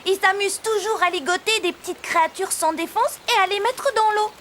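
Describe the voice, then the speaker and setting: High, bright-voiced cartoon mother. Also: a little fast, a little hiss or hum. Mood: gentle. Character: kindly mother figure in a children's cartoon. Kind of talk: animated cartoon dubbing.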